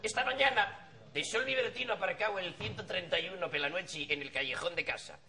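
A comedian playing a brash, loud middle-aged rural villager with an Asturian accent in a TV studio sketch. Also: male voice, sits high, a little fast, creaky, full character voice. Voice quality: loud, rustic, swearing. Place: TV studio set.

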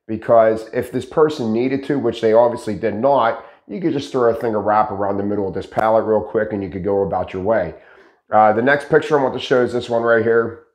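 A man in his thirties talks straight to camera on a lapel mic, explaining step by step and firing rhetorical questions at the viewer. His voice is a little raspy from shouting, sits at a middle pitch, and carries a roomy echo from the room. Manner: animated, gestures a lot, asks rhetorical questions. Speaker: host teaching pallet building. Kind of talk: casual instructional talk to camera. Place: dark studio with green screen, indoors.

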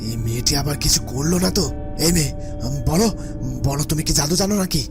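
An actor playing an impatient, ruthless robber's accomplice in a fairy-tale cartoon, talking in an urgent, goading way. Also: male voice, on the high side, lively intonation, fast, over music, close to an everyday voice. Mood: alarmed, suspicious.

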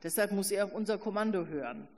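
Ein Mann liest eine freche, zierliche Studentin. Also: male voice, sits high, fast talker. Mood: cheeky. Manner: bossy, self-consciously witty. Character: skinny, lively young female student, cheeky. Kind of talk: literary reading. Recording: reverberant hall.

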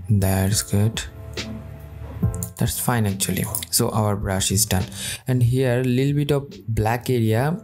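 A man talking slowly through a software tutorial over a screen recording, thinking aloud as he works, with non-native phrasing. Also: lowish voice. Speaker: tutorial host.

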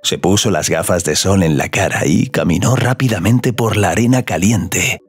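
A man narrating scripted audio fiction in a slow, sensual storytelling manner. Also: low voice, slightly rough, faint music behind.

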